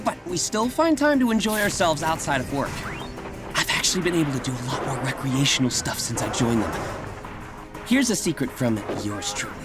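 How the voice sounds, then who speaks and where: Upbeat, swooping young American superhero. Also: male voice, sits high. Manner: chatty, rambling, self-correcting, jokey. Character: upbeat, wisecracking, nervy young male masked superhero. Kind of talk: video game voice acting. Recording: game music behind the voice.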